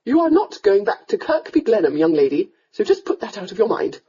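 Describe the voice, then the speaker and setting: A woman's voice: very animated, a little fast, dark tone, slightly rough, muffled recording. A woman voicing a fussy, domineering countess scolding her daughter in a storybook reading. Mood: commanding. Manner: scolding, shrill, melodramatic.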